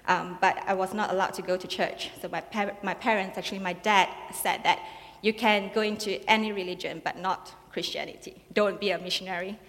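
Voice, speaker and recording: Quick young woman from Malaysia. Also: mid-range voice, steady tone, fairly bright tone. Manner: quick, hesitant with fillers. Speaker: testimony speaker. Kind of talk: personal testimony to an audience. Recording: long hall reverb.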